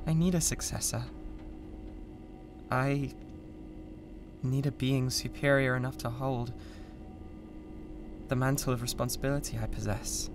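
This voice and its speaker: A British woman playing an unhinged, condescending mad scientist with a god complex in a theatrical ASMR roleplay. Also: extremely low pitch. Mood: hushed, insistent. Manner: theatrical, condescending, swinging between hushed and screaming. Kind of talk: dramatic ASMR roleplay monologue.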